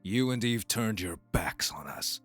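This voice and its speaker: An actor playing a scornful young monster hunter, a haughty estranged brother, in an audio roleplay drama with a sneering, deliberate delivery. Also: male voice, fairly low pitch, animated, fairly bright tone, faint music behind. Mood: defiant contempt.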